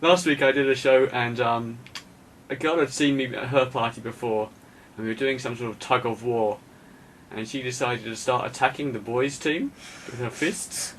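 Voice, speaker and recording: A man's voice: medium pitch, normal speed, a bit bright. A man hosting a podcast, chatting casually and telling stories about his work as a children's party entertainer. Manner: chatty, laughs along, storytelling. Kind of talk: casual chat. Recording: distant mic with faint room noise.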